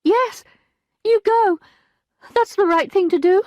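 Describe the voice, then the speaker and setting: A woman's voice: extremely high pitch, slow pace, dry recording, lo-fi sound, pitched above the performer's own voice. A woman reading an audiobook, voicing a young, anxious maidservant who pleads urgently. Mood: urging. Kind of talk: audiobook character voice.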